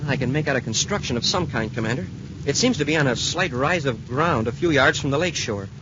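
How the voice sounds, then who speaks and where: An actor playing an eager young space cadet, earnest and respectful, in a 1950s radio drama. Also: male voice, medium pitch, lively intonation, fairly quick, lightly acted. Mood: alert, earnest. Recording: muffled, faintly noisy 1950s radio recording.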